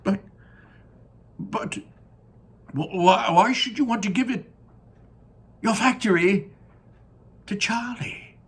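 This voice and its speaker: A man puts on an astonished old grandpa from a children's story. The voice leaps high and creaks. He stammers timidly and leans on words in disbelief. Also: slow pace, pauses often, exaggerated voice. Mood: incredulous. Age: older. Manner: stammering, emphatic.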